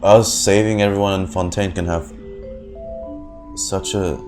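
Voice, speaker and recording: A male game streamer with a very low voice, commenting casually as a story unfolds. Game music is clearly audible behind him. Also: steady tone, unhurried, darkish tone. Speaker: gaming streamer reacting to a story. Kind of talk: casual live reaction commentary.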